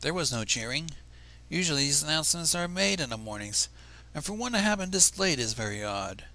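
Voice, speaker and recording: Guy reading a story out loud, very animated, bit gravelly, trips on words and chats in between. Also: fairly high pitch, a little fast. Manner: stumbles over words and rereads. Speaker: fan fiction reader.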